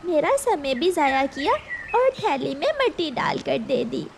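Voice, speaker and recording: A woman's voice: high voice, very animated, measured pace, a little hiss or hum, pitched above the performer's own voice, full character voice. A woman voicing a caring, earnest mother bird in a children's cartoon, tender and plaintive. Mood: hurt, determined. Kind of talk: Hindi children's cartoon story.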